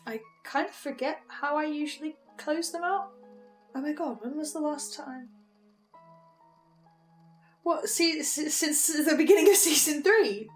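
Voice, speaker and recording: A woman plays an anxious young archivist in a horror drama. Her voice is high and unhurried, and she hesitates, stammers and restarts her sentences. Music plays behind her. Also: poor audio. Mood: awkward, weary. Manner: hesitant, stammering, self-interrupting.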